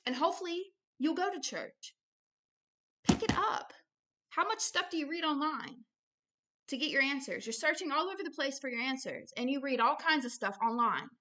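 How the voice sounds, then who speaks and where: High, bright-voiced woman teaching earnestly. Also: expressive, moderate pace. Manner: earnest, insistent, repeats phrases for emphasis. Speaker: host and religious teacher. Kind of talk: informal religious commentary, reading aloud with personal asides.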